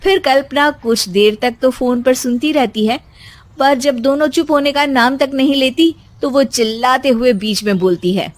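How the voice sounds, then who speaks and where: Woman, storyteller, scripted cartoon narration, higher pitch, dry sound. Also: normal speed, no room sound.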